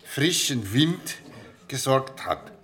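An actor playing a company official who makes a formal, ceremonious announcement of new staff in a radio play. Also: male voice, medium pitch, expressive, takes it slowly, loud music behind the voice.

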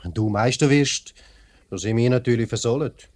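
An actor playing a plain-spoken factory worker in a 1950s radio play, blunt and informal, with a Swiss German accent. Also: male voice, mid-range voice, normal speed, darkish tone, close to an everyday voice. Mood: pleading. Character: plain-spoken male factory workmate pleading his cause. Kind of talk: mid-century radio play acting. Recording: vintage 1950s radio drama recording.

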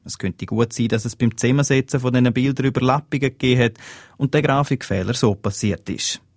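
A man with a Swiss German accent reading a scripted radio news report in an even, lightly wry tone. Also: medium pitch, a little fast, dry recording, clean studio sound.

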